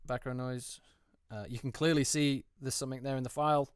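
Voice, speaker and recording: A man's voice: medium pitch, fairly even intonation, brisk, clear, resonant tone, no echo, studio quality. A man giving unscripted, casual commentary as a voice actor reviewing auditions, hesitant and often repeating words for emphasis.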